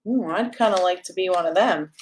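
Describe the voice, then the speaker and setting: A woman's voice: fairly low pitch, a little fast, background noise. A woman reading a rhyming children's picture book aloud as a storyteller, in a playful, sing-song way with emphasis on the rhymes.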